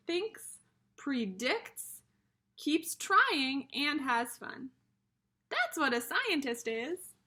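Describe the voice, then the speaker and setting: A woman's voice: high voice, pushed and bright. A woman teacher reading a picture book aloud to young children, explaining things simply and speaking to them directly as friends.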